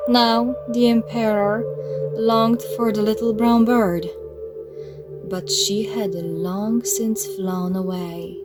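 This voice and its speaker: A woman narrating a children's story in a measured, expressive read-aloud style. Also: mid-range voice. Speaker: storybook reader. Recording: music playing behind the voice. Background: music.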